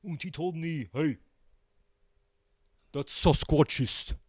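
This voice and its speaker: A man giving a comic interview as a band guest, with a deadpan, halting delivery. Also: fairly high pitch, breathy edge, dry recording. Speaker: band member, show guest.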